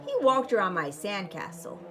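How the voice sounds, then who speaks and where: A woman storyteller reading a children's picture book aloud with expressive, emphatic phrasing. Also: medium pitch, moderate pace, soft background music.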